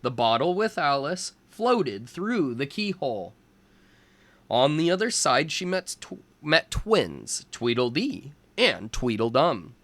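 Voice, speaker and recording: A man reading a storybook aloud as a teacher for young children, in deliberate phrases. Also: on the high side, sing-song, fairly bright tone, no echo.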